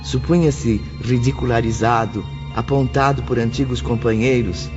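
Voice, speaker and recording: A man narrating a scripted, dramatic audiobook in a solemn, deliberate way. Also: mid-range voice, fairly even intonation. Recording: dull lo-fi audio under loud music. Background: music.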